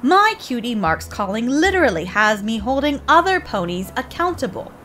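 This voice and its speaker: A woman's voice: on the high side, sing-song, moderate pace, fairly bright tone. A woman voicing a curt, haughty, irritable businesslike pony mare in a cartoon comic dub. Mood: bitter. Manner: clipped, impatient, haughty.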